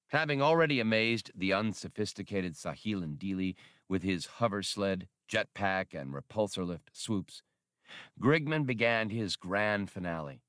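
A man narrating an audiobook in an expressive, animated reading style. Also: low voice, dry recording, studio quality.

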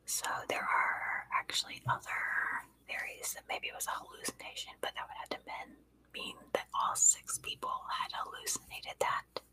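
A woman podcast host narrating true crime in a breathy, unvoiced ASMR whisper, in a hushed, informal storytelling manner. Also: normal speed, rough recording.

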